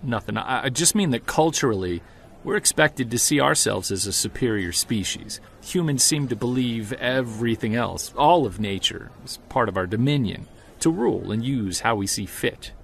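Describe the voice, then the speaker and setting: A man's voice: mid-range voice, animated, normal speed, gravelly, fairly bright tone, a little hiss or hum. A man playing an earnest investigator in an audio drama interview, speaking thoughtfully with some hesitation. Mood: reflective.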